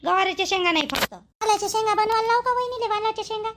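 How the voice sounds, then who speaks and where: A woman's voice: extremely high pitch, rapid-fire, background noise. A woman voicing an insistent, chatty young sister-in-law in a village cartoon, with a Vidarbha Marathi accent. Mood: practical, bright. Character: chirpy, pushy, helpful young sister-in-law in a village family.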